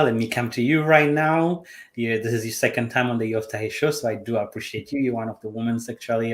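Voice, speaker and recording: A man's voice: medium pitch, a bit bright. A man hosting a live online talk show, introducing his guests with thanks. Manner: appreciative, quick bursts of words. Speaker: show host.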